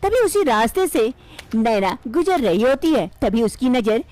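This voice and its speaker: A woman narrating a cartoon story in a steady, plain storytelling manner. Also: fairly high pitch, measured pace, soft background music.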